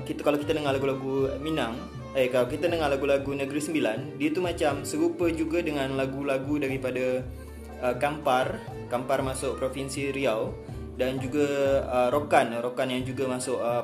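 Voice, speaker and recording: A man from Indonesia, explaining a topic in a casual talk to camera, often pausing with filler sounds and restarts. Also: medium pitch. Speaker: video host. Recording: echoey room with music behind.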